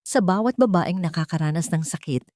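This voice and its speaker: Lady playing a strong hopeful woman in an audiobook, deeper voice, heartfelt, no echo. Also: lively intonation, a little fast, darkish tone, clean studio sound, close to an everyday voice. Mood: hopeful, resolute. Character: resilient, hopeful woman survivor turned founder. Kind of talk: audiobook character dialogue.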